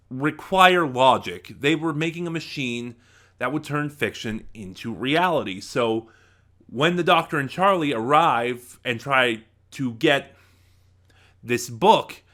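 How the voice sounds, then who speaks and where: A man giving an informal spoken review, thinking aloud in a halting, emphatic way. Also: medium pitch, sharp tone. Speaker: review channel host.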